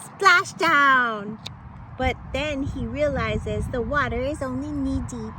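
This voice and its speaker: A woman reading a picture book aloud to children in a dramatic, playful storytelling manner with exclamations and suspenseful pauses. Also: high voice, noisy background.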